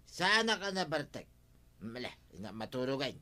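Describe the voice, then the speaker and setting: An actor with an Ilocano Filipino accent playing a silly, defensive, tipsy husband in a radio comedy drama. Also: male voice, medium pitch, big pitch swings, moderate pace, bright, forceful tone.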